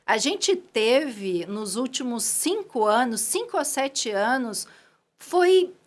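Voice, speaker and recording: A middle-aged woman with a Gaúcho accent talks as a podcast guest. Her voice sits a bit high, and she chats loosely, restarting sentences and tagging them with little asides. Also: big pitch swings, slow pace. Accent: Gaúcho Brazilian Portuguese. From Rio Grande do Sul, Brazil. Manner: chatty, with frequent restarts and "né" tags. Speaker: guest, clinic owner. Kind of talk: casual interview talk.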